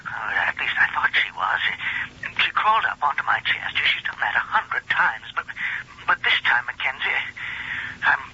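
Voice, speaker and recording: A grave professor in a 1980s radio drama. His voice is forceful and very husky, with halting, repeated words, on a dull lo-fi recording. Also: medium pitch, very animated, heavily acted. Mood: shaken, halting. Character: shaken, grave male professor, bitterly resolute.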